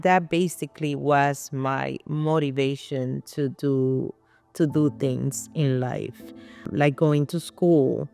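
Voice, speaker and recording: A middle-aged woman from Puerto Rico with a Spanish accent and Spanish as her first language, telling her life story as an interview guest in a halting, determined way. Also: very deep voice, gravelly, darkish tone. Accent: Puerto Rican Spanish.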